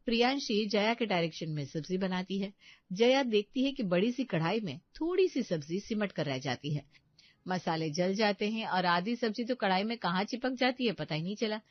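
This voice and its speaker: A woman narrating a scripted bedtime story in an even, explanatory way. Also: medium pitch, moderate pace, dry recording, dull audio.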